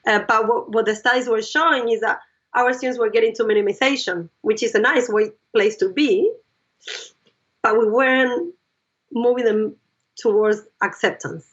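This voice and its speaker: A woman's voice: fairly high pitch, normal speed, fairly bright tone. A woman guest explaining ideas step by step in an interview, checking in with the listener as she goes. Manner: explains in steps, checks in with "right?". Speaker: psychologist and educator guest. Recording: dull lo-fi sound.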